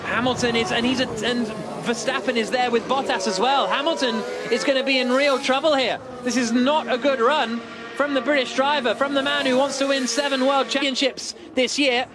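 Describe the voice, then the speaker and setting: A man giving live motor racing commentary with an excited, urgent play-by-play delivery. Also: very high-pitched, brisk, over music.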